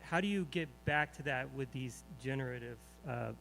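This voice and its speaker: A man's voice: medium pitch, normal speed, creaky, fairly bright tone, low-quality recording. A man in the audience asks a long, winding question, groping for words and restarting as he goes. A faint hum sits behind his voice. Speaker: audience member asking a question.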